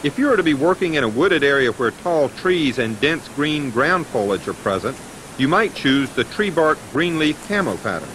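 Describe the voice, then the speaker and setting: Male American instructor for scripted training narration: animated intonation, methodical, slightly noisy vintage film soundtrack. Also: on the high side, moderate pace.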